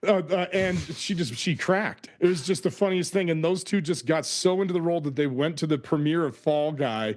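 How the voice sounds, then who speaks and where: Excitable laughing podcast host man. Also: on the high side, moderate pace, hardly any pauses. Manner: excitable, laughing, stumbles over words. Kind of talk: casual sports podcast chat.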